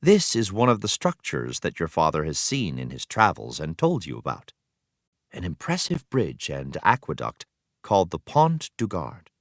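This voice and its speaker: A man reading an educational text aloud as a teacher, with expressive storytelling emphasis. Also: low-pitched, moderate pace, creaky, no room sound, studio quality. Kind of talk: scripted educational read-aloud narration.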